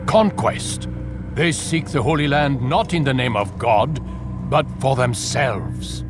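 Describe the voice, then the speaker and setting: A man playing a stern, cryptic older master of an assassin order in a video game, measured and authoritative. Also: medium pitch, music in the background, natural voice. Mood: grave. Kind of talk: dramatic video game acting.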